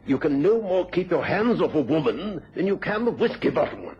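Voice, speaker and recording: An actor playing a genteel but stern country squire in a radio drama, courteous and hesitant, with polite hems. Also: male voice, on the high side, big pitch swings, moderate pace, slightly rough, heavily acted. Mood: stern, uneasy. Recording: dull, noisy old radio recording.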